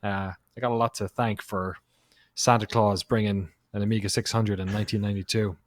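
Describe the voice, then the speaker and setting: A man's voice: fairly low pitch, flat delivery, a little fast. A man in his thirties on a podcast, casually telling a story in an easygoing way, with small laughs. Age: 30s. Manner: easygoing, laughs a little as he talks. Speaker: podcast co-host.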